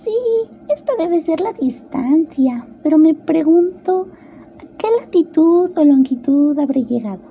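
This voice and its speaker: Woman voicing a high-pitched, curious little girl. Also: animated, measured pace, mellow, lo-fi sound, a little hiss or hum. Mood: pondering. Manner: musing aloud, wondering. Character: curious, chatty, self-important little girl. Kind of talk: storybook radio play.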